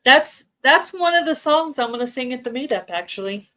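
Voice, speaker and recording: A woman's voice: high voice, expressive, normal speed, bright, forceful tone. Friendly woman chatting on a livestream. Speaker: livestream co-host. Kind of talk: casual chat.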